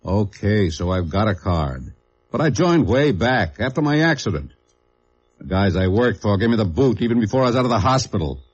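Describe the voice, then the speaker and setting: A man playing a gruff, proud older factory foreman, curt and defensive, in a mid-century radio drama. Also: lowish voice, big pitch swings, normal speed. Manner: curt, tough-guy talk. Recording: dull old radio recording.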